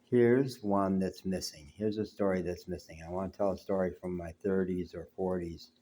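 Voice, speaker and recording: A man's voice: deep voice, fairly even intonation, moderate pace, mellow, slight background noise. An older man from the United States, talking in a reflective, rambling way to camera from his apartment, saying he has a big voice. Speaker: vlogger. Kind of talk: reflective solo talk.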